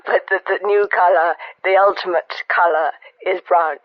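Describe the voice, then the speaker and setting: A woman's voice: fairly low pitch, fairly even intonation, moderate pace, no echo, close to an everyday voice. Actress as an earnest, dotty woman on the phone. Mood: earnest musing. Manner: hesitant, vague, earnestly explanatory. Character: earnest, dotty, arty female phone-in caller. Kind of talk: spoof radio phone-in. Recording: dull, dry telephone line.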